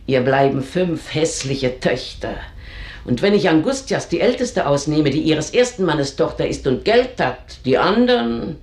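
An actress playing an earthy, bitter older housekeeper in a German radio drama, grumbling with theatrical scorn. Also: female voice, low voice, sing-song, a little slow, a little mellow, heavily acted. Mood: contemptuous. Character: bitter, earthy older female housekeeper, gossiping and cursing her mistress. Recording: roomy, echoing radio drama studio sound.

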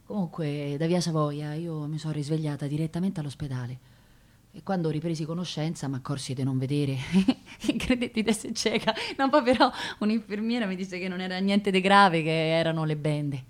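An actress as a plainspoken young Roman working-class woman, lowish voice. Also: a little fast, lightly acted. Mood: wistful, confiding. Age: young adult. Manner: colloquial, repeating words, emotional. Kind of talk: theatrical radio drama monologue.

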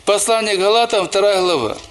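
A man's voice: high-pitched, quick pace, slight background noise. A man who is a church pastor, preaching a sermon and telling personal stories, often calling the listeners brothers and sisters.

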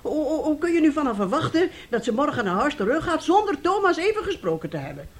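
A woman's voice: sits high, sing-song, fast talker. An actress playing a weary, scheming middle-aged wife and mother in a radio drama, with a wheedling way of talking. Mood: coaxing, nervous.

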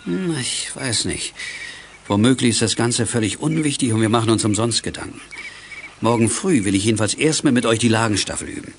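A man's voice: medium pitch, fairly quick, slight background noise, close to an everyday voice. A man playing a sensible, responsible adult coach looking after adventurous kids in an audio play. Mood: cautious, measured. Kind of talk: 1980s children's audio play acting.

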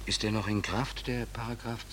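Man playing low-voiced, wry, naive drifter. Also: fairly even intonation, normal speed, lightly acted. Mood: sheepish, unruffled. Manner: hesitant, polite, mock-naive, repeats words. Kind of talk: 1940s German radio play acting. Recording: noisy old 1940s radio recording.

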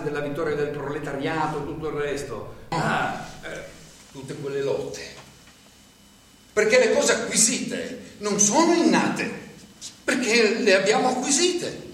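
A man's voice: fairly high pitch, a bit bright. An actor playing a rambling, earnest working-class man in a stage monologue, stammering, repeating himself and searching for words. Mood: indignant, worked up. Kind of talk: theatrical stage monologue in a radio broadcast. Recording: very echoey stage, distant mic, faint noise.

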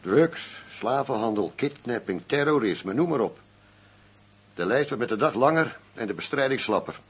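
An actor playing a blunt, world-weary middle-aged undercover detective in a radio drama. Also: male voice, mid-range voice, lively intonation, normal speed. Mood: indignant. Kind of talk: Dutch radio drama acting. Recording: radio play with clear background hum.

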